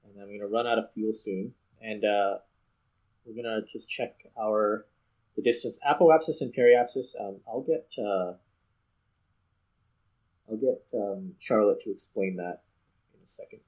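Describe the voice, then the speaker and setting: Male gaming host, slightly low and raspy, casual play-along commentary, thinks out loud as he goes. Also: unhurried, long pauses, poor audio. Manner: thinks aloud step by step. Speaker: host playing a space flight game.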